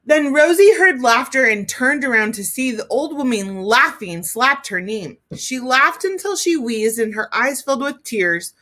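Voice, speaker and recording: A woman teacher reading a story aloud to children, expressively, pausing to explain it and talk directly to young listeners. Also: high-pitched, expressive, fairly bright tone.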